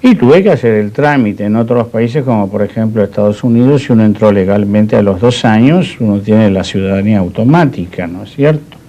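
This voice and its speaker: Older man, low pitch, soft dark timbre, formal discursive interview guest. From born in Madrid, Spain; settled in Argentina. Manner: deliberate, discursive, formal wording. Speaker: panel guest discussing history.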